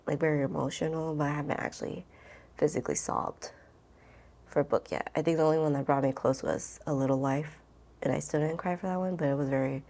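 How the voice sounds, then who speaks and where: A woman's voice: deep voice, a little mellow. A woman chatting casually as a book vlogger, thinking aloud informally and interrupting herself as she goes.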